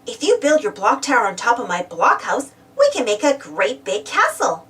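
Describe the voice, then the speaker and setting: A woman's voice: fairly high pitch, huge pitch swings, moderate pace, fairly bright tone, far from the mic. A woman voicing a friendly, generous young kitten who talks coaxingly, in a storybook.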